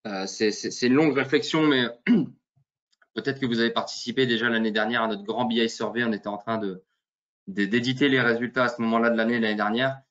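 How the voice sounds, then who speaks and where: Joking webinar host on a dull call line. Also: male voice, mid-range voice, steady tone, fairly quick, a bit bright. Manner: joking, many fillers. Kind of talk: casual webinar presentation. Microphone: video call.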